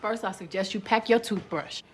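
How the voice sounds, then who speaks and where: An actress playing a furious woman throwing her man out, her shouting climbing to a scream. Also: medium pitch, moderate pace, a little hiss or hum, lightly acted.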